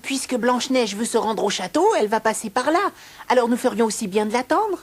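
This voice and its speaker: A woman voicing a lively, eager cartoon companion who speaks politely. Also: fairly high pitch, fairly quick, husky. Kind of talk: French cartoon dub. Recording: with cartoon music.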